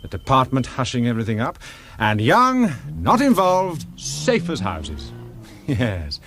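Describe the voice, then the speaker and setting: Animated, self-assured British intelligence officer. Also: male voice, mid-range voice, over music. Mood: admiring, matter-of-fact. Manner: brisk, unruffled, matter-of-fact. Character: pragmatic, self-assured, cool-headed male intelligence officer. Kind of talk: radio thriller acting. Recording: radio drama with clear background noise.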